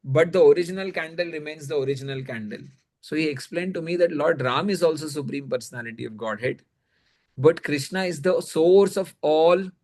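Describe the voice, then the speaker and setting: A man's voice: a little high, darkish tone. Indian-accented man teaching conversationally. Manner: explanatory, frequently checking with "you know".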